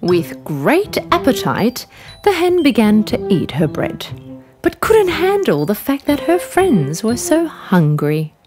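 A woman's voice: a little high, huge pitch swings, slow. A woman narrating a scripted children's bedtime story as the storyteller. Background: background music.

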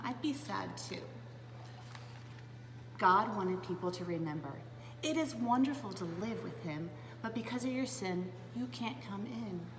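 A woman in her thirties reading a children's Bible story aloud to preschoolers in an animated, dramatic way. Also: mid-range voice. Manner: animated and dramatic, with gasps, hushed asides and questions to young listeners. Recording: roomy, echoing space with clear background noise.

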